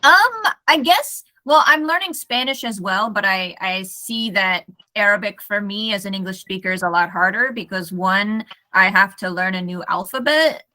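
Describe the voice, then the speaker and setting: American woman, bright and clear, friendly online English tutor. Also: medium pitch, normal speed, hardly any pauses. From United States. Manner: friendly, self-correcting, reads text aloud carefully. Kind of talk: casual online lesson.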